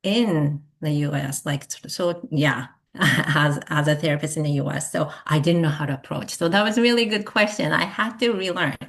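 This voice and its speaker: A woman with a low voice and a Japanese accent speaks as a therapist guest in a relaxed interview. She talks haltingly, with lots of fillers and little laughs between thoughts. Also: gravelly. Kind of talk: casual interview chat.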